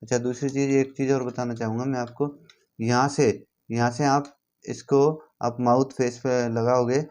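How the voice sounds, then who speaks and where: Even-toned Indian man explaining equipment. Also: mid-range voice. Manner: repetitive, checks in with the listener. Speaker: instructor demonstrating equipment. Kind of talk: informal hands-on tutorial explanation.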